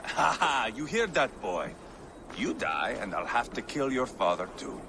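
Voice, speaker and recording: A brash taunting Viking. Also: male voice, medium pitch, very animated, unhurried, gravelly, noisy background. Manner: taunting, boisterous. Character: brash mocking Viking warrior, young man.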